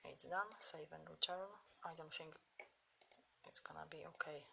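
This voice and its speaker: A woman with a Polish accent, giving step-by-step instructions in a software tutorial over mouse clicks. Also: sits low, fairly even intonation, normal speed, creaky. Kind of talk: screen-recorded software tutorial.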